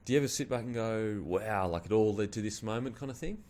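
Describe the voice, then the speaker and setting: A man's voice: fairly low pitch, quick pace, a little mellow. A man with an Australian accent hosting a casual interview, asking loose, friendly questions. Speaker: podcast host.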